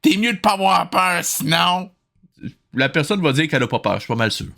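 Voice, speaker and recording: Bright-voiced man doing casual commentary. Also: fairly high pitch, big pitch swings, a little fast, creaky. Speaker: host reacting to a cartoon.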